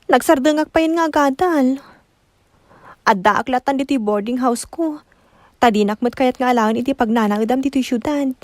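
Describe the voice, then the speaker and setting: A woman's voice: high voice, normal speed, no room sound, clean studio sound, natural voice. An actress with an Ilocano accent playing an anxious, insistent young student woman in a radio drama, with a plaintive manner. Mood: worried, insistent.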